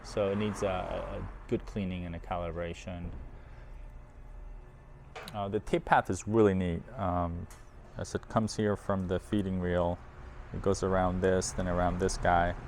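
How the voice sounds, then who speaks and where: A man's voice: sits low, darkish tone, a little hiss or hum. A man presenting audio equipment in a casual hands-on demo, informal and thinking aloud as he handles the machine.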